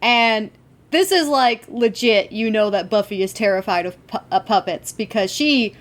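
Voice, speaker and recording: A woman's voice: medium pitch, normal speed. A woman co-hosting a podcast in a casual fan discussion, with slangy, giggly asides. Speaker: podcast co-host.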